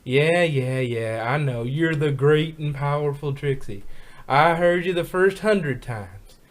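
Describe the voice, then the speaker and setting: A woman's voice: deep voice, expressive, takes it slowly. A woman voicing a blunt, no-nonsense young farm pony in a fan fiction reading, with brusque country phrasing. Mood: exasperated.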